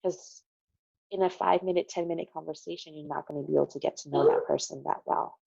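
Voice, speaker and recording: A woman's voice: deep voice, steady tone, quick pace, creaky, dark tone, husky, dry recording, highs cut off. A middle-aged American woman speaking earnestly as an interview guest, explaining things from her own experience and repeating phrases for emphasis. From Connecticut, United States. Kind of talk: conversational interview, sharing personal experience.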